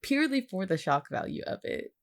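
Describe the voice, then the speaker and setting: A woman co-hosting a casual podcast-style chat, with a teasing, understated deadpan way of talking. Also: mid-range voice, fairly quick. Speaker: podcast co-host, voice actor.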